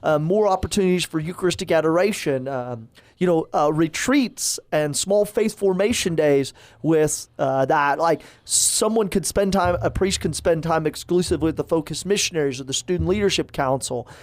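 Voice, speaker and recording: Man, priest, rambling chat, fairly high voice, dry room. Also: moderate pace, no echo. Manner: rambling, many fillers and restarts. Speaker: campus parish priest. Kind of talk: casual podcast chat.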